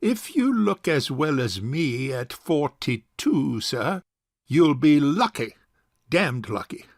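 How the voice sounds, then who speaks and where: A man's voice: on the high side, very animated, slow pace, no echo, clean studio sound, full character voice. An actor playing a suave, sarcastic bachelor uncle in his forties in an audio farce, speaking in a pompous, formal way. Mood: sarcastic anger. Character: suave, sarcastic forty-two-year-old rich bachelor uncle. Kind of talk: stage farce audio drama acting.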